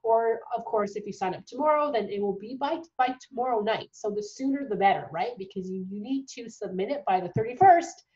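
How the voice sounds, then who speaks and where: A woman's voice: a little high, fairly quick, hardly any pauses, a little mellow. A woman in her thirties with a cold, talking casually to camera from a bedroom, with lots of ums and restarts. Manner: chatty, many ums and restarts. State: sick with a cold, voice going. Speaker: dental hygiene tutor. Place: small bedroom at home. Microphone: webcam at desk distance. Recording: dull lo-fi webcam audio.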